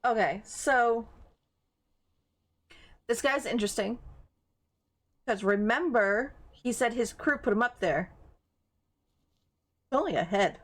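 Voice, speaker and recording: A woman's voice: a little high, lively intonation, takes it slowly, pauses often. A woman giving casual walkthrough commentary as she plays a video game.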